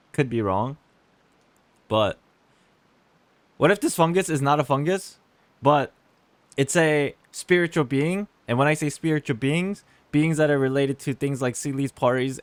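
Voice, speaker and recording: A man's voice: medium pitch, a little slow, long pauses, no echo. A man streaming a game with casual commentary, musing and speculating aloud to his chat. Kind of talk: casual live playthrough commentary.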